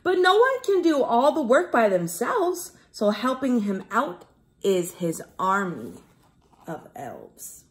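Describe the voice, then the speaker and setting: A woman reads to young children like a classroom teacher. Her voice sits a little high and swings expressively up and down. She goes slowly, keeping everything simple and clear to follow. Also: huge pitch swings, a little hiss or hum. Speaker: teacher reading a storybook aloud. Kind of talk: children's read-aloud storytelling.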